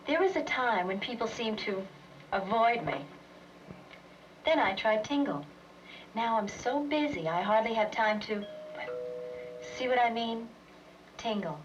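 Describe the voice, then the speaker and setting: A woman's voice: a little high, expressive, a little slow, long pauses. A woman playing a newly popular consumer confiding in a television commercial testimonial. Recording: muffled, distant, faintly noisy old film audio.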